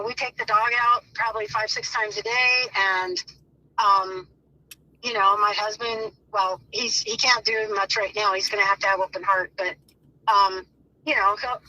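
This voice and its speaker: A woman's voice: medium pitch, bright, forceful tone. A woman chatting casually in a phone call clip, answering questions in a folksy, plain-spoken, hesitant way. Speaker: neighbour being interviewed by phone. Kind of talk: casual conversation.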